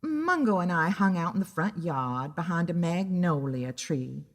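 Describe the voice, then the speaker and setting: A woman playing a wry, easily annoyed private investigator who narrates her own story in a deliberate, dramatic way. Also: fairly low pitch, lively intonation, long reverb tail. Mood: wry, matter-of-fact. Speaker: narrator and lead character of an audio drama. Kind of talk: scripted first-person detective story narration.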